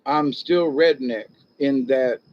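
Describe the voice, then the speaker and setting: An older man with a slight Southern drawl hosts a rambling, folksy livestream and keeps drifting from one story to the next. His voice is mid-pitched and ordinary. The audio is dull and lo-fi. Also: takes it slowly, a little hiss or hum. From born in Ohio, raised in Florida and South Georgia. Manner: folksy and digressive, chuckling at his own jokes, mimics others in a high voice. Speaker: livestream host chatting with viewers. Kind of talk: rambling casual chat.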